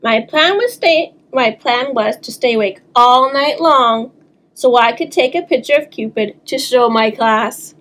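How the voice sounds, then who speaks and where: A woman in her thirties reading aloud expressively for children's story time, animated and talking directly to young listeners. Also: fairly high pitch, unhurried. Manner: animated, talks to young listeners, draws words out. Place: small indoor room.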